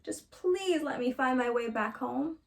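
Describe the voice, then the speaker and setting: A woman's voice: high voice, lively intonation, measured pace, creaky, full character voice. A woman reading a storybook as a stubborn, sweet young girl with a childlike, pleading way of talking.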